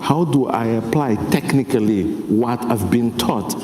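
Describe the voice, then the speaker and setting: A man's voice: mid-range voice, measured pace, dark tone, slight background noise. A man in his forties from Mozambique, a jazz musician speaking English, talking passionately on a conference panel and often checking whether his listeners follow. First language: Portuguese. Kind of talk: panel discussion. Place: large conference hall stage. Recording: echoey hall with faint ambience.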